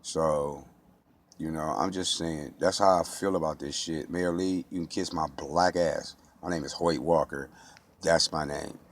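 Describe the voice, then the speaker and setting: A man's voice: a bass voice, fairly even intonation, a little slow. An American man speaking off the cuff in an impassioned monologue, blunt and streetwise, with slang and heavy swearing. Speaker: local resident speaking out.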